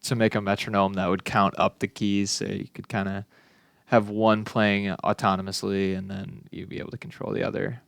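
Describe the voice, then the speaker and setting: A young adult man giving a casual software demonstration as a student, informal, offhand and a little tentative. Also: lowish voice, level tone, normal speed, creaky, dry recording. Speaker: student presenting a final project.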